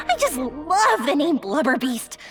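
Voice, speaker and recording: A woman playing a bubbly, chatty, enthusiastic young woman in an anime game, telling things excitedly. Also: high-pitched, slow pace, exaggerated voice. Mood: excited, cheerful. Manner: excitable storyteller, exclaims often. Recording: music behind the voice.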